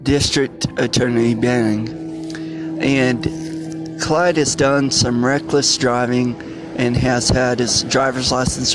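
Slow, halting male podcast host. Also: mid-range voice. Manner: halting, stumbling over phrases. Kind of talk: informal podcast introduction.

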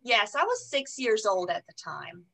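A woman from Germany with German as her first language, a podcast guest telling her life story in a relaxed way, with many pauses and fillers. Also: medium pitch, vocal fry. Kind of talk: conversational interview.

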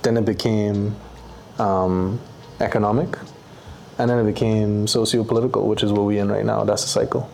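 A young man, a guest in a casual interview, talking in a relaxed, slangy and reflective way. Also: fairly low pitch, normal speed, vocal fry, slight background noise. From Bahamas. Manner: laid-back, slangy, reflective.

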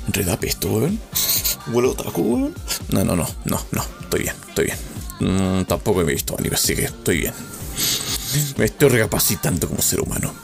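A man's voice: mid-range voice, vocal fry. Man, Chilean accent, casual podcast host, rambling and self-mocking, faint music underneath.

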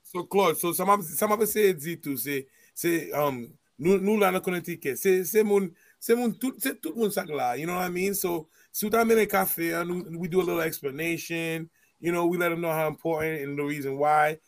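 Male co-host, relaxed slangy talk, slightly high voice, dry close sound. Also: brisk, dry recording. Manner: relaxed, slangy, mixes in French. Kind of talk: casual interview conversation.

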